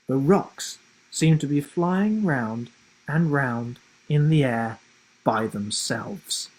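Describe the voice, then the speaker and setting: A man's voice: on the high side, a little mellow, husky, rough recording. A man narrating his own children's book expressively, as an author reading aloud to young listeners.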